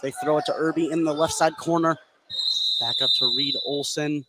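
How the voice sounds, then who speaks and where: An American man with a fairly high voice calls live basketball as the play-by-play commentator. He describes the action in clipped, energetic bursts, with clear gym noise all around him. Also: moderate pace. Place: indoor basketball gym, courtside broadcast.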